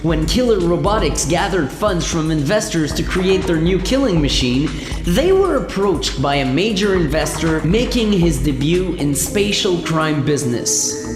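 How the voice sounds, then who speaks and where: Low animated woman narrator in heavy reverb. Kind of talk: scripted narration of sci-fi comedy radio play. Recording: echoey long reverb over music.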